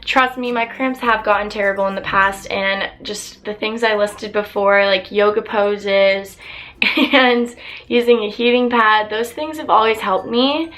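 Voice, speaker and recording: A young woman vlogger chatting openly to camera while answering viewer questions, her voice a little raspy from losing it. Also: medium pitch, steady tone, unhurried, fairly bright tone, poor audio. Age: young adult. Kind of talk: casual chat to camera.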